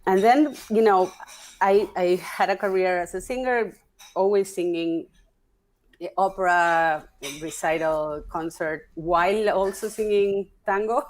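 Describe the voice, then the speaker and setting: A woman, a guest talking through her career in a relaxed interview, pausing and restarting as she thinks. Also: medium pitch, rough recording. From Argentina. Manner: halting, restarts often, thinking aloud. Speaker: guest, opera singer and stage director. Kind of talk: casual interview conversation.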